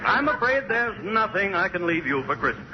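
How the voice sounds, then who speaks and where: A scolding, theatrical Santa Claus in a 1940s radio comedy. The voice is very high for a man and swings widely as he draws out his words, on a very noisy old recording. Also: very animated, fairly quick, muffled recording. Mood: stern, scolding.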